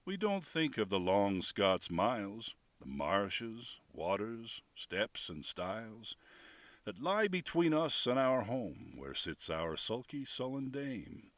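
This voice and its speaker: An older man with a rich, admired voice, reciting a poem as a guest reader in an expressive, lilting way. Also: low voice, no room sound. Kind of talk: dramatic poetry reading. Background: faint bagpipe and whistle music.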